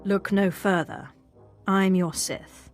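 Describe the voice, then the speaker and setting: An actress playing a poised, confident Sith in a video game, speaking with cool, deliberate assurance. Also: female voice, mid-range voice, fairly even intonation, soft background music, lightly acted. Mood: coolly confident. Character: poised, confident young female Sith warrior-mystic.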